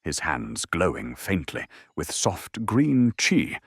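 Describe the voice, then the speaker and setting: A man's voice: low voice, huge pitch swings, slow, breathy edge, no room sound, clean studio sound. A man delivering dramatic scripted narration for an audio drama. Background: faint music.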